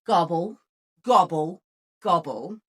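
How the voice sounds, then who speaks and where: Slow, animated woman reading a picture book. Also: lowish voice, slightly rough. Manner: expressive, deliberate rhythmic phrasing. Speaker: storyteller. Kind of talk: children's picture-book read-aloud.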